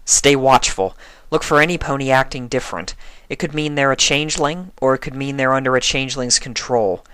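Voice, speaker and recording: A take-charge young mare from a cartoon story, put on by a narrator. The delivery is measured and businesslike, pitched a little up, earnestly explaining and instructing in a dry recording. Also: fairly bright tone, clean tone, dry recording, lightly acted.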